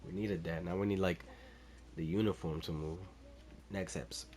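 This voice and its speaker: A man's voice: low voice, fairly even intonation, normal speed, darkish tone. A man making casual, slangy, off-the-cuff reactions as he plays a video game, often muttering and repeating phrases.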